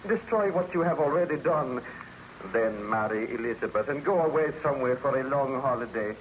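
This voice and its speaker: An actor playing a cautious, disapproving gentleman friend with formal, earnest diction in an old-time radio drama. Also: medium pitch, lively intonation, brisk, dull audio, close to an everyday voice. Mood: pleading. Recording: slightly noisy vintage radio recording.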